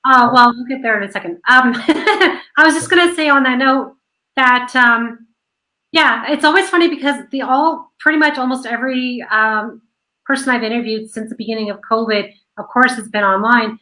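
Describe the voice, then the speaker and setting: A woman hosting an online radio interview, chatty and quick, laughing often. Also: fairly high pitch, pushed and bright, poor audio. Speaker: host of an online radio show. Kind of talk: casual chat.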